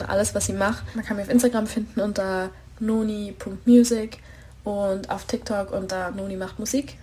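A woman singer-songwriter, introducing herself in a relaxed, chatty way with plenty of filler words. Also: medium pitch, slight background noise. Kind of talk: casual self-introduction.

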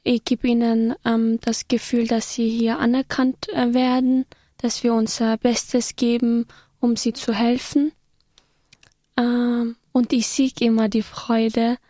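Guest, woman, slow and flat, mellow, careful with fillers, somewhat high. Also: dry recording, clean studio sound. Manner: simple sentences, many fillers, careful. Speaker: guest, first-contact worker. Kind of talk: radio talk guest.

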